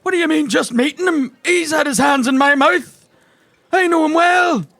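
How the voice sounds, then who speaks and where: Very high-pitched Northern Irish barman. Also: normal speed, vocal fry, a bit bright, no room sound, full character voice. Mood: indignant. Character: chatty male small-town barman, indignant but loyal. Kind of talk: improvised comedy role-play.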